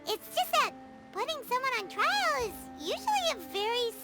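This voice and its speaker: A woman voicing a small, curious, excitable fairy companion in a video game, with a squeaky childlike voice. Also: normal speed, heavily acted. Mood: hesitant, polite. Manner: refers to herself in third person. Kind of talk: animated game dialogue. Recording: loud music behind.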